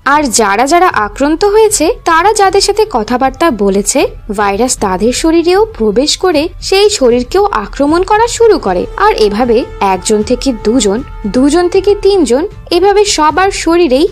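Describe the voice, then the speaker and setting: Female narrator, high voice, lively swings, children's fairy-tale storytelling, faint music behind. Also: a little slow. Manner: expressive storytelling addressed to children.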